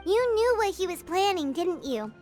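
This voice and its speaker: Tiny childlike game fairy: extremely high, swooping, bubbly chatter, music clearly behind. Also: female voice, very animated, normal speed, a little mellow. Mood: chatty, excitable. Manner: bubbly, chatty, refers to self in third person. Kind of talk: video game voice acting. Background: game background music.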